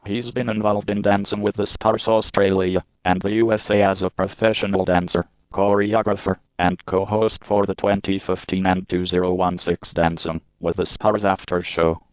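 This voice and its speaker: A man with a low, flat voice narrates a news feature at an even, measured pace. His tone is smooth and clean, recorded dry with a slightly dull, muffled sound. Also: dry recording. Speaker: news reporter.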